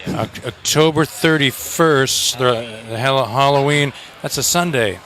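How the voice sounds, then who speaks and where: A man's voice: mid-range voice, measured pace, a bit bright, slight background noise. A man in a rock band chatting casually in an outdoor festival interview, joking and rambling with restarts.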